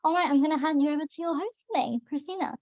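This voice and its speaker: A woman's voice: high voice, quick pace, no room sound. A woman moderating a webinar, introducing the host and inviting the audience to send questions in an upbeat way. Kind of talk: event introduction. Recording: dull, dry lo-fi call audio.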